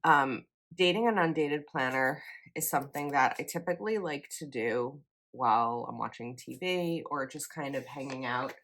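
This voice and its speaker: Low-voiced young woman, kinda froggy morning voice, chatty, lots of likes and ums. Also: moderate pace, fairly bright tone. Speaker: planner vlogger. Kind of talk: casual chat while decorating a planner.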